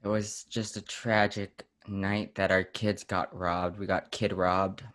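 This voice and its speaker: A man playing a deadpan, money-minded bereaved parent in an improvised comedy interview, with halting delivery. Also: deep voice. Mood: mock grief.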